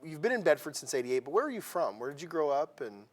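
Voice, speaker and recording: A man's voice: a little high, sing-song, fast talker. A man interviewing a guest in a chatty, friendly way, asking questions and adding asides. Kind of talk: oral history interview.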